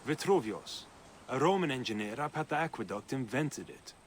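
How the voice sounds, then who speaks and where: An actor playing a defiant, earnest captive farmhand in a video game, speaking with urgency. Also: male voice, medium pitch, animated, moderate pace, a little hiss or hum, natural voice. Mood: grim. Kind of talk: dramatic video game acting.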